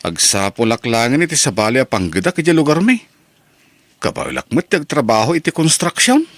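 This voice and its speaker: A man's voice: mid-range voice, sing-song, a little fast, fairly bright tone, no echo. A man playing an earnest, struggling working husband in an Ilocano radio drama. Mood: earnest, worried. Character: struggling working husband resolved to move home.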